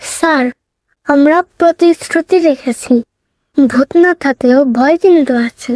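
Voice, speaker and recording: A woman's voice: high voice, unhurried, warm tone, dry recording. A woman playing a confident young girl detective who leads her team in a cartoon drama. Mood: sure.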